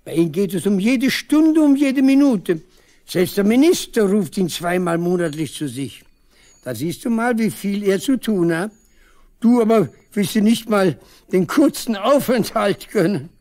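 A man's voice: high voice, lively intonation, a little slow, darkish tone. An actor playing a proud, talkative old village father in a 1970s East German radio drama, wistful and emphatic. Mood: proud, touched. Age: older.

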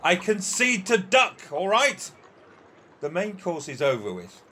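A peevish, competitive older man acted by a male amateur in a radio play. His pitch moves animatedly as he argues and relishes with deliberate emphasis. There is faint noise in the recording. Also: sing-song, bright, forceful tone, heavily acted. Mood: exasperated.

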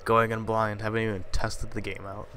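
A man's voice: fairly low pitch, flat delivery, normal speed, sharp tone, slight background noise. A man playing a horror game, swearing and muttering casual asides, still slightly congested from a cold. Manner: profane, jumpy, muttering asides. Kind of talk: casual commentary.

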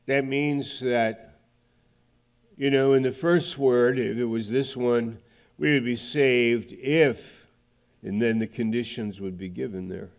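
A man's voice: mid-range voice, fairly even intonation, takes it slowly. A middle-aged male pastor preaching a sermon in a large church, explaining points carefully and often restarting a phrase. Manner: explanatory, restarts mid-phrase, gestures broadly. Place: large church sanctuary, indoors. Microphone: headset mic.

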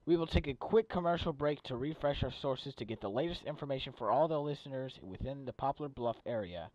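A man's voice: mid-range voice, fairly quick, darkish tone, no echo, lo-fi sound, close to an everyday voice. A man playing a flustered small-town radio host, talking through live local news. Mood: businesslike, flustered.

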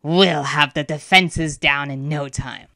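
A woman's voice: low-pitched, steady tone, slow pace, bright, forceful tone, no echo, clean studio sound, exaggerated voice. Woman voicing a growling, menacing tiger spirit.

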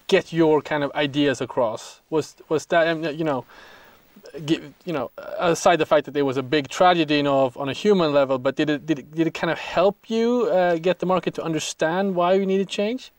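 Male interviewer, slightly high pitch, hesitant conversational phrasing with repeated words, dry recording. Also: no room sound. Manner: hesitant, halting, repeats words while forming questions. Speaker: podcast host, interviewer.

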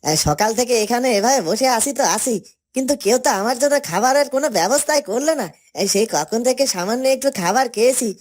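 A foolish, food-obsessed husband voiced by a woman from a fairytale cartoon. The voice is high and whining, tripping over the starts of sentences as it pleads childishly. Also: darkish tone. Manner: whiny, stammering starts, pleading. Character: whining, gluttonous, dim husband, childishly dependent on wife. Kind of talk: Bengali fairytale cartoon dubbing.

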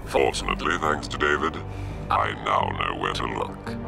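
Very deep, gravelly conspirator. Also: male voice, animated, moderate pace, loud music behind the voice, heavily acted. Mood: measured, calculating. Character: calm, calculating male conspirator in a shadowy cabal.

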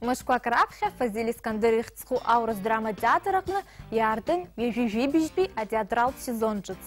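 Young female news anchor, higher voice, measured scripted reading, lapel mic, soft music bed. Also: animated. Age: young adult. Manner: crisp, measured newsreader delivery. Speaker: news presenter. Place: TV studio with screen backdrop.

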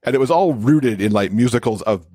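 A man's voice: medium pitch, lively intonation, brisk, warm tone, breathy edge, no echo, clean studio sound. A man talking casually and enthusiastically as a podcast guest. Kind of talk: casual chat.

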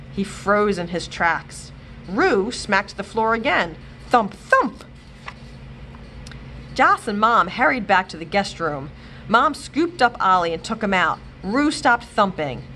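A woman librarian reading a children's chapter book aloud with expressive, animated storytelling. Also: mid-range voice, takes it slowly, a little hiss or hum. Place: library, indoors.

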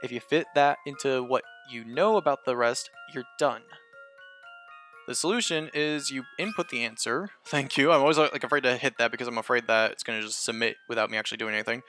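Excitable man narrating a puzzle game. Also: medium pitch, a little fast. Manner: excitable, gushing, reads hints aloud. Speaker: let's-play host. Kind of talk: puzzle game walkthrough commentary. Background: game music.

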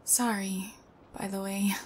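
A young woman acting an odd, sweet, sheepish thief in an ASMR roleplay, speaking softly and hesitantly with awkward pauses. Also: medium pitch, little pitch movement, a little hiss or hum, natural voice. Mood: sheepish, earnest.

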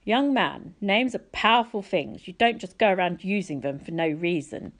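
A woman's voice: on the low side, expressive, normal speed. A woman voicing a grumpy, impatient middle-aged male camp director in a storybook, haughty and sardonic. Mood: stern.

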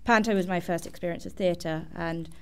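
A woman's voice: fairly low pitch, fairly even intonation, normal speed, a little mellow, clean tone. A woman from England who moved to Australia as a teenager, chatting casually as a student guest. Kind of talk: casual reminiscing chat.

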